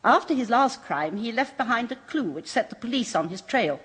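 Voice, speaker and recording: A composed waxworks manager who talks of murderers with precise, brisk relish. Her voice is slightly husky and lively in pitch. The sound is a muffled old radio recording. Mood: cool.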